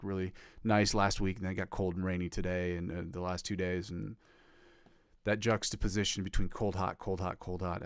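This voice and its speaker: A man's voice: dry recording, studio quality. A congested man live streaming casually as a guitar streamer, rambling with lots of fillers. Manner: rambling, filler-heavy, upbeat pitch to viewers. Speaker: guitar livestreamer.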